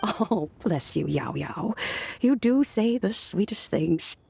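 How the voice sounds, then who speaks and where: A woman playing a kindly, unhurried old lady mentor in a video game, with an aged, grandmotherly, affectionate manner. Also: medium pitch, expressive, vocal fry, warm tone, a little hiss or hum. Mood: fond, amused.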